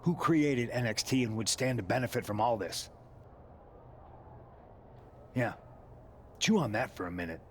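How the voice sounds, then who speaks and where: An actor playing an embittered, vengeful veteran wrestler in a video game cut-scene, terse and accusatory. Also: male voice, medium pitch, lively intonation, unhurried, long pauses, a little hiss or hum. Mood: suspicious.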